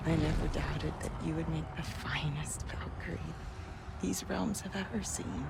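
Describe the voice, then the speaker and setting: A middle-aged woman playing a fierce, protective, grieving goddess mother in a video game, intense and deliberate. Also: low-pitched, vocal fry, very noisy. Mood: solemn, urgent. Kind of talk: dramatic video game cutscene acting. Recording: music behind the voice.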